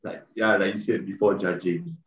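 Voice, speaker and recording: A man's voice: low-pitched, fairly even intonation, moderate pace, roomy sound, far from the mic, muffled recording. A man co-hosting a casual podcast, rambling with many fillers. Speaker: podcast co-host.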